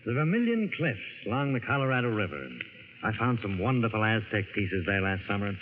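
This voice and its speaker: An actor playing a genial, persuasive professor, eager and hearty, in mid-century radio drama style. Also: male voice, fairly low pitch, expressive, brisk, natural voice. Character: genial, persuasive male professor and expedition leader. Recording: echoey, noisy tinny old radio.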